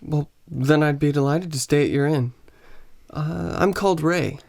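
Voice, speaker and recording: An actor with an American accent playing a weary, grumbling English teacher on vacation in a radio play, speaking somewhat hesitantly. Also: male voice, fairly high pitch, measured pace, creaky, darkish tone, no echo, studio quality. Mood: casual, hesitant.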